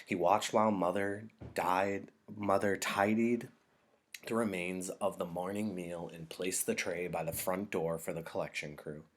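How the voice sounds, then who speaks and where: Deep-voiced man reading a novel aloud. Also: steady tone, unhurried. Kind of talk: storybook narration, read aloud.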